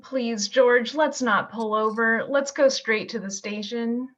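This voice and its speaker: A woman acting a sweet, devoted young small-town sweetheart with gentle delivery in an amateur radio play over a video call. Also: a little high, takes it slowly. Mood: happy, pleading. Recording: muffled video call audio.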